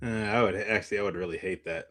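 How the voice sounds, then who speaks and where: A man's voice: fairly low pitch, quick pace, a bit bright. A man chatting casually about movies as a podcast co-host, rambling and often trailing off mid-thought.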